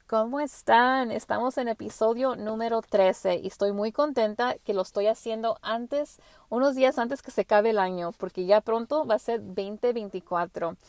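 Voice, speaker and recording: A woman whose first language is English hosting her spiritual podcast, talking gently with frequent restarts and fillers. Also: on the high side, normal speed, dry recording. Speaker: podcast host. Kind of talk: casual spiritual monologue.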